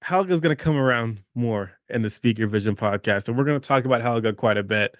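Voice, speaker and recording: A man's voice: medium pitch, brisk, no echo, clean studio sound. A man hosting a motivational podcast, talking emphatically, repeating phrases and checking in with "okay?". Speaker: podcast host.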